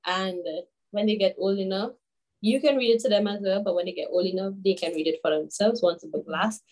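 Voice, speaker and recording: A young woman from Grenada in her twenties, chatting as an interview guest. Her voice sits at a middle pitch, and she tells her stories in an animated way, full of fillers and asides. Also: brisk, low-quality recording. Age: 20s. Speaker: guest, children's author. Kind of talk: casual interview chat.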